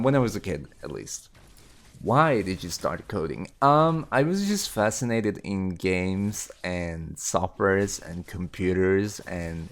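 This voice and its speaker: A man in his late teens answering viewer questions in a casual, rambling way, with many fillers and restarts. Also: sits low. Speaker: YouTube creator. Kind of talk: casual Q&A chat.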